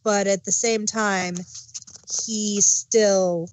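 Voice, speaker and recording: A woman's voice: medium pitch, fairly even intonation, extremely slow, slight background noise. A woman co-hosting an audio drama review podcast, chatting casually and thinking aloud with fillers. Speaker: podcast co-host. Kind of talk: casual chat.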